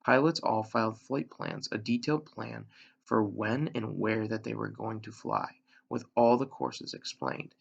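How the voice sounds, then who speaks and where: A man with a gravelly voice reading a children's novel aloud, measured, with dramatic pauses and emphasis. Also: lowish voice, lively intonation, muffled recording. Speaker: elementary school reader, story narrator.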